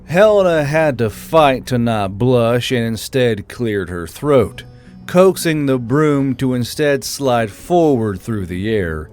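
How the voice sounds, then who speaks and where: A man's voice: medium pitch, lively intonation, slow, darkish tone. A man reading scripted fantasy story narration as the narrator. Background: background music.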